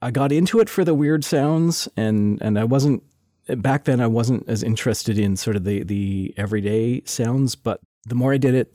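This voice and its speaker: Mellow, low-voiced man chatting casually. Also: no room sound, clean studio sound. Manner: relaxed, laughs often, frequent restarts. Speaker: sound designer guest. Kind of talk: casual interview chat. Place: lives in Toronto.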